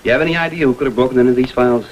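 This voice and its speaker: An actor playing a calm, probing private investigator in an old crime drama, speaking in a brisk, businesslike way. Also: male voice, medium pitch, dull audio, natural voice. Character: calm, probing male private investigator, persistent and level-headed. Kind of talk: vintage American television crime drama acting. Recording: hissy vintage film soundtrack.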